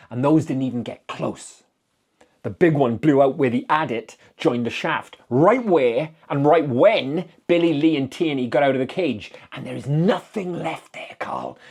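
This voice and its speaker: A man's voice: a little high, darkish tone, husky, voice pushed up, full character voice. A man voicing a distraught, tired and dogged sheriff in a dramatic story reading, insistent and emphatic. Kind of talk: dramatic audiobook character reading.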